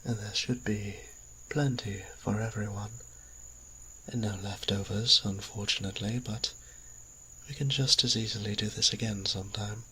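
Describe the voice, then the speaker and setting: A man playing a kindly, genteel vampire priest in an audio drama, speaking politely and courteously with pauses mid-phrase. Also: on the low side, fairly even intonation, pushed and bright, slight background noise, rough recording. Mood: gracious, pleased. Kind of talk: scripted audio roleplay drama.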